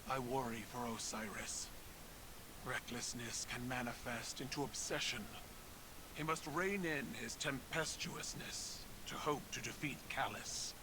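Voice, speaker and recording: An actor playing a grave, weary alien empire commander, speaking formally and deliberately in dramatic game narration. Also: male voice, mid-range voice, fairly even intonation, background noise, close to an everyday voice. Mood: sober, cautioning.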